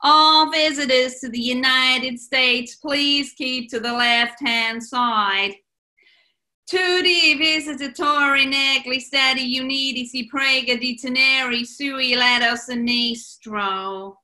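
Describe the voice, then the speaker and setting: A woman's voice: high voice, slow, pushed and bright, exaggerated voice. A woman playing a brash, pushy New York woman announcer in a 1940s-style comedy radio play. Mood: officious.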